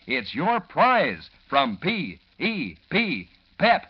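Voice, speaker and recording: Animated vintage radio pitchman. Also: drawn-out words, slightly rough. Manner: folksy, enthusiastic salesmanship. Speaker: radio announcer. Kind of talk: 1940s radio advertising pitch to children. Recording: dull vintage radio recording.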